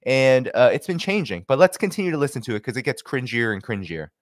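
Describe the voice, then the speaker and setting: A man's voice: medium pitch, very animated, fast talker, no echo. A young man hosting from a home office on a studio mic, commentating animatedly on clips with many fillers. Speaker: host and commentator. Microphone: studio mic with headphones.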